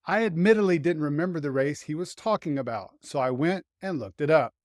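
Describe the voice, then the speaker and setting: A man's voice: on the high side, expressive, brisk, darkish tone, a little hiss or hum. A man hosting a motorsport show, delivering scripted commentary on racing news. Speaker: host and motorsport writer.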